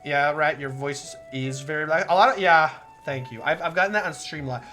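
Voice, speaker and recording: Woman, streamer, soft relaxing voice, rambling, bright tone. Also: deep voice, brisk, faint music behind. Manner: rambling, self-interrupting, swears casually. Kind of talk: casual livestream commentary over a visual novel.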